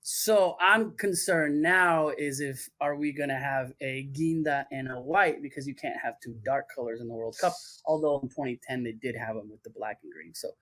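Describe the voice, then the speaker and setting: A man chatting as a guest on a podcast in a loose, rambling way, dropping plenty of fillers as he talks. His voice sits at an ordinary middle pitch. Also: normal speed, few pauses, fairly bright tone, no echo. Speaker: podcast guest who works on athlete branding. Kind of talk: casual podcast chat.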